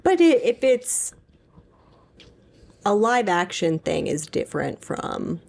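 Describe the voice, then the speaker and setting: A woman's voice: fairly low pitch, sing-song, slow, creaky, darkish tone. A young adult woman co-hosting a podcast, talking in a casual, blunt, informal way. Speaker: podcast co-host, English PhD student.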